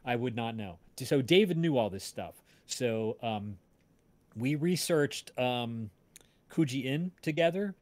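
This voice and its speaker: Halting man hosting a casual livestream. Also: mid-range voice, animated, darkish tone, no room sound. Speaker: host of a cast and crew livestream, audio drama writer. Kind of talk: casual livestream chat about a show.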